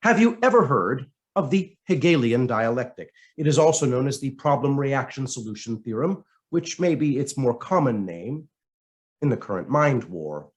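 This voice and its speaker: Male narrator, mid-pitched, for essay reading; highly animated intonation, emphatic and deliberate. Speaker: reader of written commentary.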